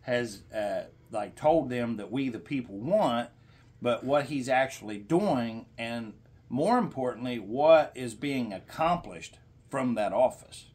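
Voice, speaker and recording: A middle-aged man argues as a caller with a complaint. His delivery is halting but insistent and tends toward lecturing, at an ordinary male pitch in a small room. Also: animated, a little mellow. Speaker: caller to a senator's office. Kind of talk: confrontational phone complaint.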